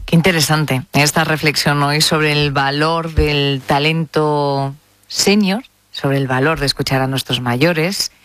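A woman's voice: low-pitched, measured pace, a bit bright, no room sound. A woman with an accent from Spain hosting a radio talk show in a conversational style.

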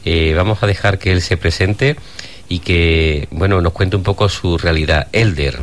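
A man's voice: low voice, moderate pace, slight background noise. A man hosting an interview who welcomes and introduces his guests. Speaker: host.

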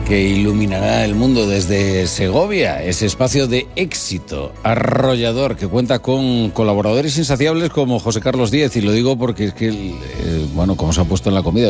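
A man's voice: fairly low pitch, a little mellow. A man hosting a radio show, teasing his guests in light banter.

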